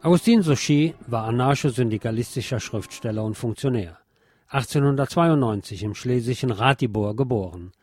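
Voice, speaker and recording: A man's voice: medium pitch, moderate pace, a little mellow. A man reading a scripted historical documentary narration as the programme's narrator.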